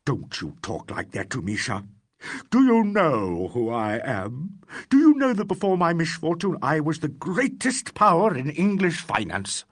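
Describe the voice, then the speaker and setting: A man reading an audiobook voices a pompous, hysterical disgraced financier who rants in a shrill, trembling voice. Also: sing-song, a little slow, fairly bright tone, slightly rough, no room sound, studio quality, full character voice. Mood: ranting. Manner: shrill, indignant, trembling, ranting.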